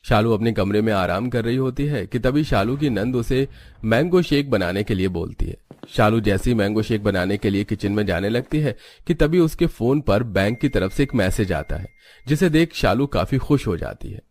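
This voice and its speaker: Low-voiced man narrating a story. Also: expressive, normal speed, darkish tone. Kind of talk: scripted cartoon story narration.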